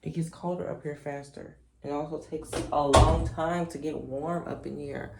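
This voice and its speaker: A sleepy woman with a deep voice, chatting to her camera at home in a rambling, reflective way. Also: steady tone, normal speed, creaky, heavy background noise. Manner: reflective, rambling, soft-spoken. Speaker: vlogger. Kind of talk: casual chat to camera. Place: top-floor apartment at home. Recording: echoey room.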